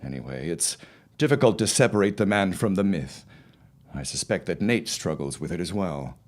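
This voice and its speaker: Man acting a deep, theatrical, confident husband. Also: lively intonation. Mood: breezy, confident. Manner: formal, theatrical, deliberate phrasing. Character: confident, dismissive early 1900s farmer husband. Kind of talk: audio drama acting.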